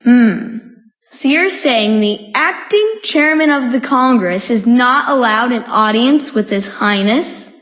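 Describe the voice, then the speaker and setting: Bossy teasing dignitary in a fantasy game, highish voice, echoey hall. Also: female voice, lively intonation, slow pace, a bit bright. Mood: wry. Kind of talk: dramatic video game voice acting.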